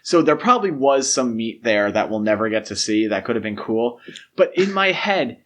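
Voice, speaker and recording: Male podcast host, mid-range pitch, conversational, opinionated, emphatic, self-qualifying. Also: sing-song, moderate pace. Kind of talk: casual film discussion.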